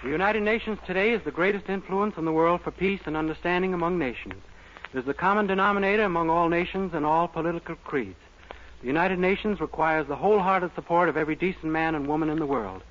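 A man's voice: a little high, quick pace, a bit bright. A man delivering a formal public address in an earnest, oratorical way. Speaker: public service speaker. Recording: distant lo-fi radio broadcast with noisy crowd.

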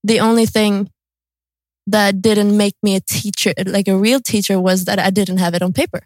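A woman's voice: mid-range voice, fairly even intonation, normal speed, a little mellow, dry recording. A 22-year-old woman with an Albanian-Swedish accent, chatting informally and a bit giggly as a podcast co-host. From born in Kosovo, raised in Sweden. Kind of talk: casual podcast chat.

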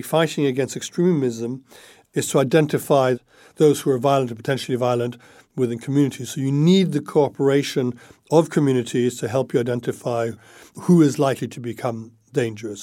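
A man with a soft, dark tone gives analytical commentary as an editor in an interview. His voice sits at a middle pitch in a very dry recording. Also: fairly quick, dry recording. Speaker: journalist, foreign affairs editor.

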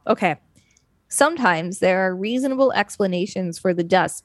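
A woman's voice: lowish voice, no room sound. A woman co-hosting a podcast, reading an article aloud and adding casual asides. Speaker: podcast co-host.

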